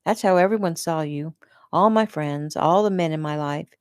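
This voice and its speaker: A woman's voice: lowish voice, normal speed, a little mellow, dry recording, studio quality, natural voice. A woman reading the part of a cruel, possessive middle-aged mother with a contemptuous, cutting manner. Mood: scornful.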